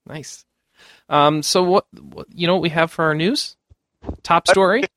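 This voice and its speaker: A man's voice: a little high, a little slow, a bit bright, no room sound. A man hosting a casual gaming news podcast, chatting informally and jokingly. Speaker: podcast host.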